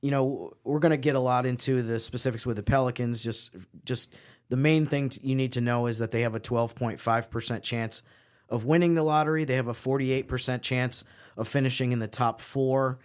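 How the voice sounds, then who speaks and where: A man's voice: medium pitch, fairly even intonation, fairly quick, smooth. A man co-hosting a sports podcast, giving casual analysis in a detailed, explanatory way.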